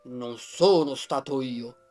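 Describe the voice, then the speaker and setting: A woman voicing a big, gruff, lonely male gorilla who speaks slowly and tersely, as if talking costs him effort. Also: darkish tone. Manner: slow, low, terse and grudging. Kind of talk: storybook character voice.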